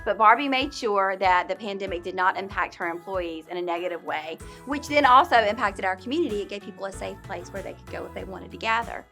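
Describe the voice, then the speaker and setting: A woman's voice: mid-range voice, brisk, hardly any pauses, sharp tone, faint music behind. A woman co-hosting an awards program, introducing honorees in an enthusiastic, informal and emphatic conversational way.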